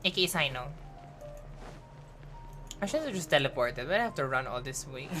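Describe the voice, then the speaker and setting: A woman's voice: extremely low pitch, big pitch swings, normal speed, fairly bright tone, faint music behind. A woman, chatting casually and playfully while streaming a game. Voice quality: chatty, playful, mixing in Filipino words. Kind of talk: casual live-stream commentary.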